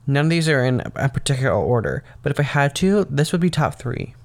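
A young man hosting his own podcast, talking casually and rambling with lots of "um" and "like", his voice slightly raspy and tired from getting up very early. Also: mid-range voice, sing-song, brisk. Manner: rambling, meandering talk full of "um" and "like". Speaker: podcast host. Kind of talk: casual solo podcast monologue.

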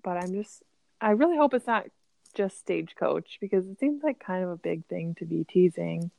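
A woman's voice: on the low side, lively intonation, normal speed, vocal fry, dark tone, no room sound. A woman co-hosting a podcast, chatting casually and leaning on "like" as a filler. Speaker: podcast co-host. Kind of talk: casual chat.

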